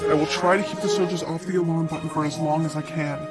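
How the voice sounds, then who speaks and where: An actor playing the decisive boss of a covert crew, giving orders in a deliberate, urgent, dramatic way. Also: male voice, on the high side, flat delivery, lightly acted. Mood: resolute. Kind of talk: dramatic scripted acting. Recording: loud music over the voice.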